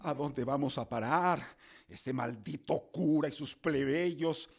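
An actor playing an angry, alarmed older royalist father in a radio drama, blustering with a creaky, gravelly voice. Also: fairly high pitch, takes it slowly, heavily acted. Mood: alarmed, outraged. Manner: blustering, indignant.

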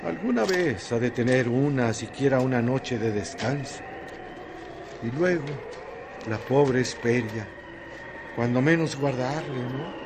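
A man's voice: medium pitch, lively intonation, unhurried. A man giving dramatic scripted narration for a radio drama. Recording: noisy background ambience.

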